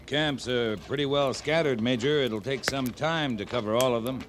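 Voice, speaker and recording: Man acting a steady cavalry captain, terse, understated, radio western, mid-range voice, slightly noisy old recording. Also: medium pitch, normal speed, lightly acted. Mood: restrained. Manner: terse, understated, plain-spoken.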